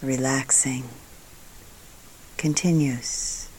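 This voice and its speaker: A woman guides a meditation at a very slow, deliberate pace. Her voice is soft and calm, at times thinning to a breathy near-whisper. She speaks in short phrases and leaves long pauses between them. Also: very deep voice, poor audio. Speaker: meditation teacher.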